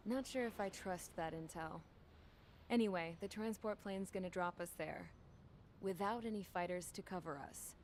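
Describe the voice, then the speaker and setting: An actress playing a cocky, wry young convict mechanic, narrating in casual slang for a game cutscene. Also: female voice, on the low side, moderate pace, a little mellow, a little hiss or hum, close to an everyday voice. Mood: wry, matter-of-fact.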